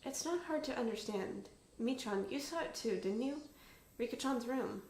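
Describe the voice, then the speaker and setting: Woman playing polite teenage girl, earnest, firm, slightly raised pitch, roomy echo, storytelling. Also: lively intonation, moderate pace, a little mellow, a little hiss or hum. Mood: pointed. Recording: roomy echo with music behind.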